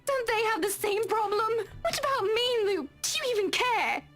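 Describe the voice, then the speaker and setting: Visual novel heroine: young woman, very high voice, earnest and distressed, music behind. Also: expressive, moderate pace, fairly bright tone. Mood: hurt, pleading. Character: young wife and women pleading, distressed, trying to be reasonable.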